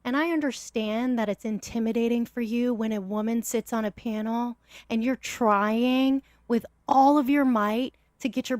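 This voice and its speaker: A woman on a live-stream panel delivering measured, cutting insults in a heated debate. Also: on the high side, a little mellow, no echo, studio quality.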